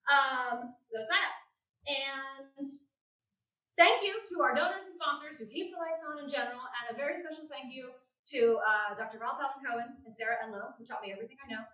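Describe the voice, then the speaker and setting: A woman gives an emphatic, enthusiastic welcome speech from a stage. Her voice is high and bright, picked up from a distance in an echoey theatre. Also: brisk. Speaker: education programs manager introducing a show. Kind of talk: live welcome speech to an audience. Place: theatre stage before an audience.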